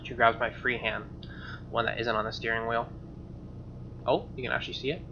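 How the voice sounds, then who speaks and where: A man's voice: mid-range voice, flat delivery, a little fast, gravelly, background noise. A man reading a game's narration aloud in a casual playthrough style, with informal, joking asides. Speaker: streamer playing and reading a game. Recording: slightly dull mic, music behind. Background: acoustic guitar game music.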